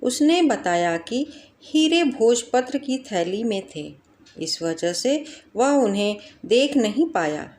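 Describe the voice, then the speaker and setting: A woman's voice: on the high side, very animated, slow pace, darkish tone, slight background noise. A woman narrating a scripted moral folk tale as a storyteller.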